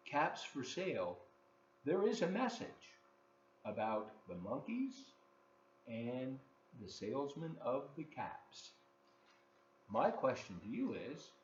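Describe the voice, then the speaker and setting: Animated, unhurried storyteller in a roomy space. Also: medium pitch. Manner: expressive, slow, dramatic emphasis. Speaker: volunteer children's story reader. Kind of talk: animated picture-book read-aloud with asides to children. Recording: roomy, dull lo-fi, faint noise.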